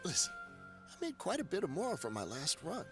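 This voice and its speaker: An actor playing an evasive, flustered smuggler in a video game, haggling and pleading. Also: male voice, sits high, wildly swooping pitch, a little fast, music in the background. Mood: wheedling. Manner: wheedling, flustered.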